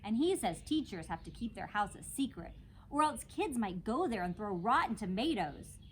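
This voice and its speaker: A woman plays a stubborn, know-it-all little girl from a storybook. She scolds in a bossy, lively way, with some background noise. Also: medium pitch, normal speed, close to an everyday voice. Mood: scolding. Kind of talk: children's storybook read-aloud.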